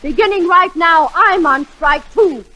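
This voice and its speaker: A woman's voice: very high-pitched, unhurried, sharp tone, exaggerated voice. An actress playing a sarcastic, scolding middle-aged wife and mother in a 1940s radio comedy. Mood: indignant. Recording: vintage 1940s radio recording.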